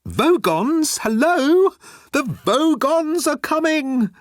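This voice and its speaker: A frantic, fretful Earthman acted out by a male narrator in a comic science fiction story. His voice leaps high above the narration, spluttering and exclaiming in panic. The sound is close and dry. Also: sing-song, takes it slowly, no room sound, heavily acted. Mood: frantic alarm. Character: Arthur, frantic fretful Earth man, sputtering with alarm.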